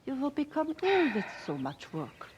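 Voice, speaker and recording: A gentle faithful peasant mother. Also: high voice, huge pitch swings, normal speed, highs cut off, noisy background. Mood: tender. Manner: soft and reassuring. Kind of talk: radio drama acting.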